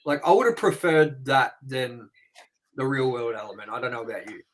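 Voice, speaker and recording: A man's voice: medium pitch, moderate pace, a little hiss or hum. A man co-hosting a casual movie review chat, rambling with lots of fillers and "you know". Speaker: co-host.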